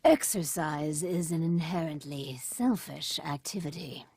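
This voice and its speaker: Slow, clinical female vault overseer. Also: low-pitched, a little mellow, slightly rough, no room sound, full character voice. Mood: clinical, self-assured. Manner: formal, clinical, deliberate. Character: officious, coldly pragmatic female vault overseer, measured and scheming. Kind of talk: video game character dialogue.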